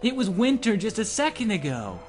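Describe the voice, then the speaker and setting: A man's voice: sits high, wildly swooping pitch, brisk, faint music behind. Young man stumbling through a game read-aloud. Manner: stumbles over words while reading. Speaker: let's-play co-host. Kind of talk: amateur visual novel read-aloud with casual banter.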